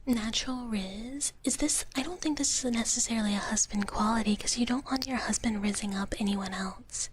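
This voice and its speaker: A woman whispers softly and breathily into a close ASMR microphone, her voice slightly high. She rambles playfully to her viewers in a casual livestream style, often repeating herself. A faint noise sits behind her voice. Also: moderate pace, few pauses, rough recording. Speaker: livestream host, VTuber.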